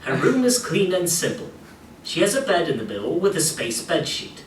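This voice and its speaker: A man's voice: on the high side, very animated, moderate pace. A man reading scripted narration for a story game, addressing the listener as the player. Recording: echoey room playback, distant, faint noise.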